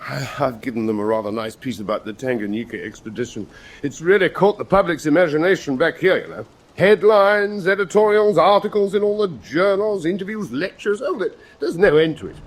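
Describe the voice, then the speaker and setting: An actor playing a vain, boastful British naval commander in a radio drama, with a pompous way of talking. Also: male voice, on the high side, brisk, close to an everyday voice. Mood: smug, jovial. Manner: pompous, self-congratulatory.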